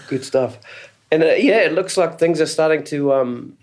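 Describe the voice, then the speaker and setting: A man hosting a podcast interview, asking questions with plenty of ums and short encouraging replies. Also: a little high. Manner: hesitant with many ums, frequent encouraging backchannels. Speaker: podcast host. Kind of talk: casual interview chat.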